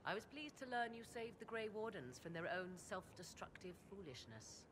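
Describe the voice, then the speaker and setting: An actress playing a proud, sardonic sorceress in a video game, with archaic, formal, haughty diction. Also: female voice, mid-range voice, very animated, normal speed, noisy background, lightly acted. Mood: composed, wistful. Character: proud, sardonic sorceress, devoted mother and lover. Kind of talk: dramatic video game dialogue.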